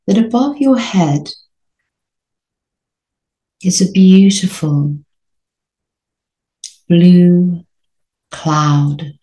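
A woman with a low, mellow voice leads a sleep meditation. She speaks very slowly and soothingly, leaving long gaps between short phrases. The sound is roomy, with a noticeable echo around her gentle delivery. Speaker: meditation guide.

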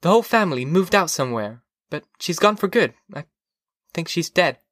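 A vague, detached wife acted by a male audiobook narrator speaks in a raised pitch. She sounds drowsy and offhand, halting as she goes. The recording is very dry. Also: sing-song, a bit bright, no echo.

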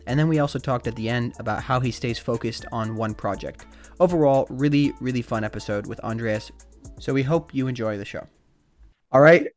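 A man hosting a podcast interview, asking friendly, rambling questions with restarts. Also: mid-range voice. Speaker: podcast host.

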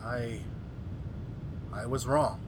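A man's voice: mid-range voice, steady tone, extremely slow, slight background noise. A man playing an earnest, agitated scientist with a dramatic, exclamatory delivery in an old-time horror radio play. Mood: grave, urgent. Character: earnest, agitated male scientist doctor, grimly resolute. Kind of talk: 1930s-style horror radio drama acting.

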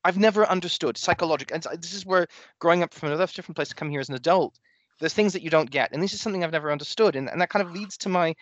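A man with a Canadian accent asking a long question, talking quickly and restarting his sentences. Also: on the high side, no echo. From grew up in Germany and Canada. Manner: fast, animated, restarts often. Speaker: interviewer. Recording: dull dry lo-fi sound.

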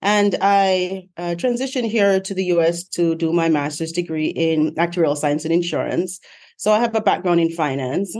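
A middle-aged woman talking in a casual interview over a remote call. Her voice sits a little low, and she is chatty and laughs easily as she strings together long lists about her life. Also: a little mellow. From Jamaica. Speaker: guest, author.

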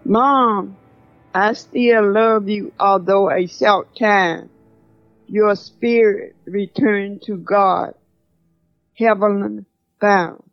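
A woman playing a reflective, grieving older grandmother in an audio drama, speaking solemnly and deliberately. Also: medium pitch, fairly even intonation, dry recording, dull audio, soft background music. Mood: mournful, reverent. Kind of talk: audio drama acting, diary poem recitation.

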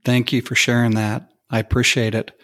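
A man hosting an interview, asking questions in a measured, appreciative way. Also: medium pitch, level tone, a bit bright. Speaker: podcast interviewer.

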